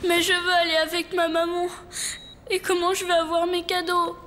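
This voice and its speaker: A woman's voice: very high voice, completely flat delivery, moderate pace, fairly bright tone, a little hiss or hum. A woman voicing a plaintive, homesick little male polar bear cub in a children's cartoon.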